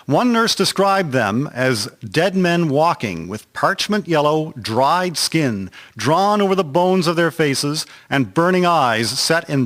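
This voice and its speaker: A man's voice: on the high side, fairly bright tone. A man reading scripted narration for a historical radio drama. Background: instrumental music.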